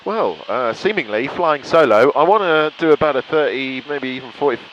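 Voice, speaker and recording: A man's voice: medium pitch, expressive, normal speed, highs cut off, a crowd in the background. A British man chatting casually to viewers while flying, with an enthusiastic, reflective way of talking. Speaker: pilot vlogger. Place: cockpit of a small light aircraft in flight. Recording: clear engine noise in a cockpit.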